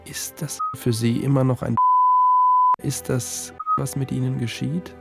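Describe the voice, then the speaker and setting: A man interviewing, haltingly and insistently repeating his probing questions. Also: medium pitch, level tone, creaky, over loud music.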